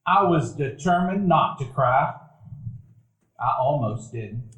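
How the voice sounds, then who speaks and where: A man's voice: mid-range voice, animated, slow pace, a bit bright. A man narrating a live radio play, reflective storytelling. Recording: echoey live theater hall.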